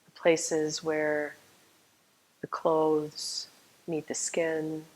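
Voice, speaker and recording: Slow, low-voiced woman guiding meditation. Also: low-quality recording. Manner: calm, soothing, unhurried, with long pauses. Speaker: yoga nidra meditation teacher.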